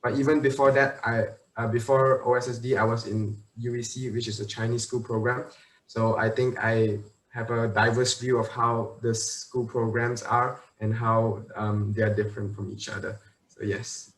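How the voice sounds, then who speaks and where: A young man on a video call talks through his school choices in a relaxed way, with lots of fillers. His intonation stays very flat. He sounds set back from the mic in a roomy space. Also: medium pitch, normal speed, recorded from a distance. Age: young adult. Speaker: alumnus guest, university student. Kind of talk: virtual panel interview.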